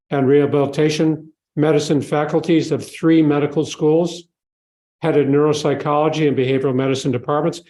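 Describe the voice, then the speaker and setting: A man's voice: a little high, darkish tone, roomy sound. Man hosting a live podcast. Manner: measured, formal when introducing. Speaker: podcast host. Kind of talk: live podcast interview, reading an introduction. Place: learning center hall with a live audience.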